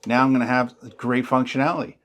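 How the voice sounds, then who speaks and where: A man's voice: medium pitch, little pitch movement, fast talker, a bit bright, slight background noise. A man hosting a tech how-to video, explaining things casually in a chatty, plain-spoken way with folksy turns of phrase. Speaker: tech tutorial host.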